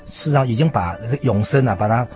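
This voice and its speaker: A man's voice: mid-range voice, fast talker. Male preacher explaining scripture, music behind. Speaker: preacher, interview guest.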